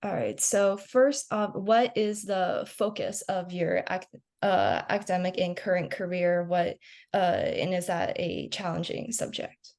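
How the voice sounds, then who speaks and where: A woman's voice: fairly low pitch, expressive, unhurried, gravelly, darkish tone. A young woman hosting a student-run science webinar on a video call, introducing the organization and reading out questions. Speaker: webinar host.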